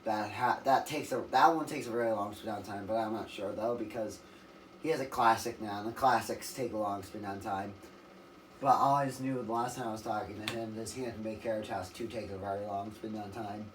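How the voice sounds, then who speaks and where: A man with a raspy voice rambling through a casual, off-the-cuff demonstration, correcting himself and losing his train of thought. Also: lowish voice, steady tone, brisk, distant mic, a little hiss or hum, rough recording. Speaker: ceiling fan collector demonstrating a fan. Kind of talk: casual off-the-cuff video narration.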